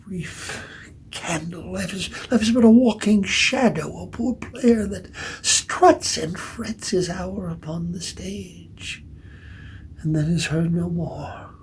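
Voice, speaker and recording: A woman playing an anguished, world-weary tragic monarch in a Shakespearean soliloquy, moving between breathy half-whispers and forceful delivery. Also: on the low side, slow. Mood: bleak, anguished. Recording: noticeable background noise.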